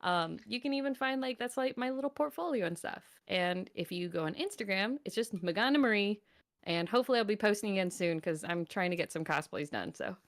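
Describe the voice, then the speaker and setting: Woman guest chatting casually, mid pitch, upbeat and jokey voice actor. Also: lively intonation, brisk. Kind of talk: casual chat.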